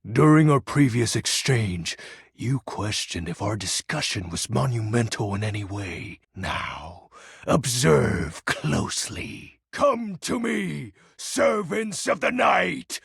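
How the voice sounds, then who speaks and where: A man voicing a melodramatic, pompous dark lord, darkness personified, in a video game, with a raspy, gravelly voice and grandiose archaic diction. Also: medium pitch, big pitch swings, slow, no room sound, studio quality, full character voice. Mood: ominous, grandiose, then triumphant, commanding. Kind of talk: video game character voice acting.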